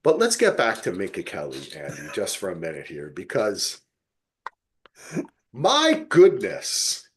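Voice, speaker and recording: A man's voice: deep voice, wildly swooping pitch, unhurried, slight background noise. A middle-aged man co-hosting a podcast, reviewing television casually with emphatic, playfully exaggerated storytelling. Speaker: podcast co-host.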